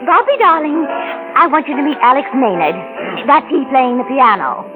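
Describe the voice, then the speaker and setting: An old radio drama recording with music. a society party hostess, high-voiced and swooping, effusive and affected. She is breezy and worldly in a theatrical 1940s manner. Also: very animated, normal speed, rough recording. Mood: gushing, breezy.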